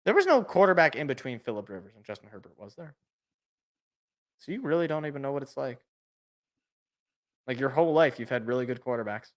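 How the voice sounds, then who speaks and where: A man co-hosting a casual sports podcast, loud and excitable, stumbling over repeated words, his voice worn out. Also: medium pitch, fairly quick, long pauses. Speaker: podcast co-host.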